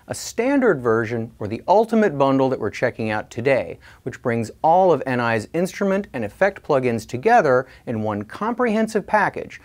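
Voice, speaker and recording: A man narrating a scripted product review in a clear, explanatory way. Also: on the high side.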